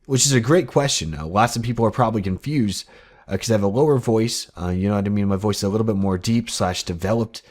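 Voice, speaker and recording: A man's voice: lowish voice, fast, darkish tone. An eighteen-year-old man hosting his own game channel, talking casually and rambling upbeat with lots of fillers. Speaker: gaming channel host. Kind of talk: casual Minecraft commentary.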